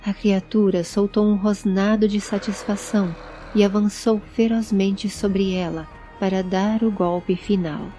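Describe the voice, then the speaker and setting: A woman narrates a fantasy audio story in a soft, mellow voice. She reads from a script at a medium pitch, with faint music underneath. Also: fairly even intonation, a little slow. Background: background music.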